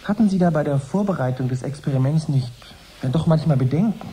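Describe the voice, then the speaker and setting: Ein Schauspieler spielt in einem Hörspiel einen höflichen, skeptisch nachfragenden Interviewer, der förmlich spricht. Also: male voice, on the high side, quick pace, mellow, slightly rough. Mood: probing. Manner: formal, sceptical questioning. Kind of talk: radio drama interview.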